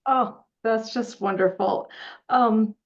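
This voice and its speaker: A woman's voice: on the high side, fairly even intonation, takes it slowly. A woman hosting a casual interview in a gushing, enthusiastic way. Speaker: interview host. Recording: dull lo-fi connection.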